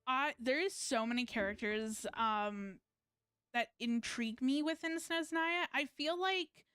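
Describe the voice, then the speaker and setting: A woman game streamer with a smooth, fairly high voice and a dry, close sound. She talks casually, in a halting way, as if thinking aloud. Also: fairly bright tone, no echo. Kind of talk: casual live gaming stream chat.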